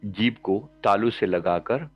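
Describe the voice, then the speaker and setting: Male meditation guide, low voice, calm measured instruction in short phrases, muffled lo-fi recording. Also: soft background music. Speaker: meditation guide, spiritual teacher.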